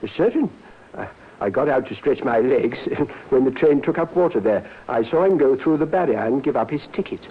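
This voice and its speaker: Nervous, halting male witness, tinny old radio. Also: medium pitch, expressive, breathy edge. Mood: earnest. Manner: hesitant, stammering, halting. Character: nervous, short male witness, hesitant and eager to help. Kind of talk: old radio crime drama acting. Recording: muffled, noisy old radio drama recording.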